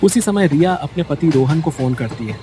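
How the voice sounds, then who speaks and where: A man's voice: fairly high pitch, lively intonation, normal speed, mellow, a little hiss or hum. A man reading a scripted bedtime story in a dramatic storytelling style, as narrator. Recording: music bed behind the voice. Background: background music.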